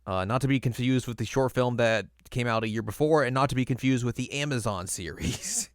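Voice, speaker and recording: A man's voice: medium pitch, a little fast, clean tone, dry recording. A man co-hosting a podcast, chatting casually and informally about films with some dry humor. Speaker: podcast co-host. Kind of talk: casual film review chat.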